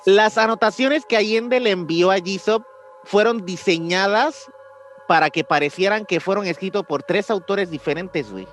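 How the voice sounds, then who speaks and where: Young man, Mexican accent, slangy podcast co-host, fairly high voice, faint music bed. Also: moderate pace, fairly bright tone. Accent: Mexican Spanish. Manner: slangy, says "güey" often, acts out voices in quotes. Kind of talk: casual chat retelling a mystery.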